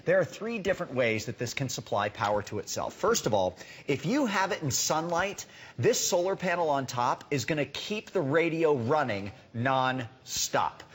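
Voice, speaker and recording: A man's voice: on the high side, expressive, moderate pace, a bit bright, breathy edge. A man giving a live home shopping demonstration as a product expert, explaining conversationally and emphatically with repeated words for stress.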